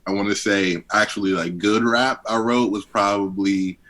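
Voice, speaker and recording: Deep-voiced young man from D.C. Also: fairly even intonation, normal speed, a bit bright. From Southeast Washington, D.C. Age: 20s. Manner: laid-back, heavy on fillers, chuckles often. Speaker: guest rapper. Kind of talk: casual interview chat.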